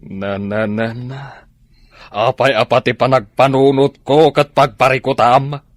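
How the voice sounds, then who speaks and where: A man acting a gruff philandering husband in a radio drama, with an Ilocano accent. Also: medium pitch, fairly even intonation, measured pace, dry recording, clean studio sound, natural voice. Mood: defensive. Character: gruff philandering husband and businessman, smooth with women.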